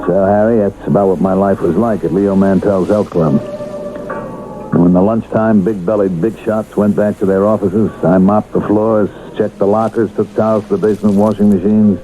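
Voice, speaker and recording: A man's voice: fairly low pitch, fairly even intonation, moderate pace, music in the background, lightly acted. An actor in a radio drama playing a middle-aged ex-convict handyman, anxious, humble and earnestly defensive. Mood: weary, reflective. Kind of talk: radio drama acting with first-person narration. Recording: muffled old radio broadcast, faint noise.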